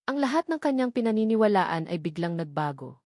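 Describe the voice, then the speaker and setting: A woman's voice: mid-range voice, very animated, brisk, darkish tone, dry recording, studio quality. A woman reading a scripted romance story as a dramatic narrator.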